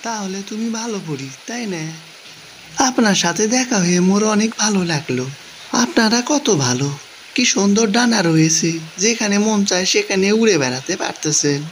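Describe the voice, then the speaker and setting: A man's voice: high voice, animated, normal speed. A man voicing a homesick working father in a cartoon, musing aloud in a rustic Bangladeshi accent. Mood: admiring. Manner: rustic, colloquial. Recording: background noise, ambience.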